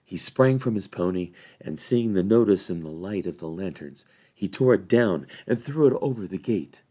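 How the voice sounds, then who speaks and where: A man reading a fantasy storybook aloud as an expressive narrator. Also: low voice, normal speed. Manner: acts out dialogue with changed voices. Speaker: volunteer story reader.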